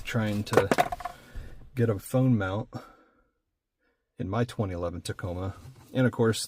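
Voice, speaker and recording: Man, low pitch, casual how-to host, unhurried, thinking aloud, hands-on demonstration, faint background noise. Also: a little mellow. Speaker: host of hands-on car accessory install video.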